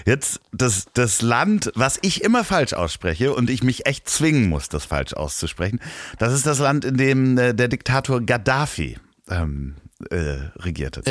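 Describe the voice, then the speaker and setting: A man's voice: medium pitch, big pitch swings, measured pace, no room sound. A man with a Hamburg German accent chatting casually as a podcast co-host, slurring the odd word. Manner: slurs some words. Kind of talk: casual chat.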